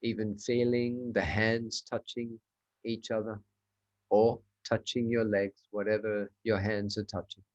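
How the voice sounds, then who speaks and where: Slow-paced man guiding meditation. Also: lowish voice, little pitch movement, lo-fi sound. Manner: measured, instructional, with spaced short phrases. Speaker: meditation teacher. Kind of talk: guided meditation.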